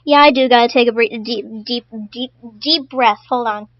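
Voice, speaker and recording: A woman's voice: fairly high pitch, moderate pace, no room sound, lo-fi sound. A woman streaming casually to her chat, stuttering often and repeating her words. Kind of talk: casual live-stream commentary.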